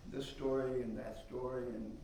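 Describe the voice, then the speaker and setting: A soft-voiced man giving an informal, reflective talk on faith as a guest speaker at a church service, in a conversational way. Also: mid-range voice, fairly even intonation, moderate pace. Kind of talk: informal sermon teaching from notes and scripture. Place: indoor service room, mic some way off. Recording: distant mic, roomy echo, faint noise.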